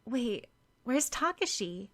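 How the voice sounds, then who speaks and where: A woman's voice: on the high side, sing-song, takes it slowly, a bit bright, pitched above the performer's own voice, exaggerated voice. A woman voicing a blunt, worried teenage girl in an audiobook story.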